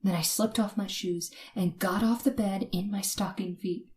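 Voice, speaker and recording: An actress playing a terrified young woman who narrates her night of terror in old-time radio suspense style, dramatic and halting. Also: mid-range voice, steady tone, a little mellow, close to an everyday voice. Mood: hushed dread.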